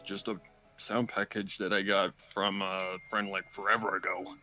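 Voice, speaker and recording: Low bright-voiced man chatting casually. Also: flat delivery, moderate pace, soft background music, poor audio. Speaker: co-commentator reacting to a story. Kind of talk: casual reaction chat.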